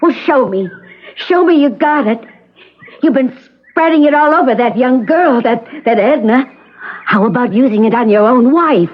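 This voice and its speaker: An actress in an old radio drama playing a bitter, demanding wife in a wheelchair, insistent and sardonic. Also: female voice, a little high, expressive, normal speed. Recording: muffled old radio broadcast, noisy.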